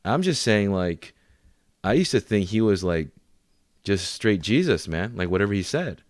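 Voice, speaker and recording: A man's voice: on the low side, very animated, normal speed, dry recording, studio quality. A man co-hosting a podcast, talking casually in informal, bro-ish slang. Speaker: podcast co-host.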